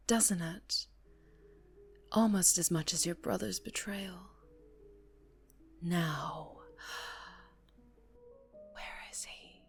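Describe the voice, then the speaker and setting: A woman playing a sadistic, seductive mafia boss in an ASMR roleplay, teasing and menacing, often dropping into breathy whispers. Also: fairly low pitch, a little mellow. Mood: sadistic amusement.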